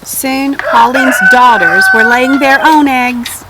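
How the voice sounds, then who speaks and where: High-voiced woman reading a children's story. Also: steady tone, takes it slowly, heavy background noise. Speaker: storybook reader. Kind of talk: expressive children's story narration.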